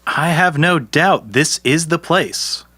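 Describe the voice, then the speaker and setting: Actor as a bright-voiced, canny poor peasant man. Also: on the high side, lively intonation, slow, dry recording, exaggerated voice. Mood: earnest, polite. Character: poor, canny, good-natured younger peasant man in a folktale. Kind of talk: audio storybook character acting.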